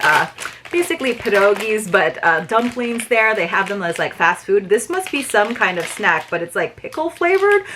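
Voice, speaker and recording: A woman talks through a subscription box in an easy, chatty way, full of fillers and asides to her viewers. She sounds eager and upbeat, and she slips into a steadier reading voice for printed passages. Her voice sits at an ordinary middle pitch. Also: a bit bright, a little hiss or hum. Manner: chatty, many "like" and "you guys" fillers; enthusiastic. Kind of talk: casual unboxing vlog, reading booklet aloud.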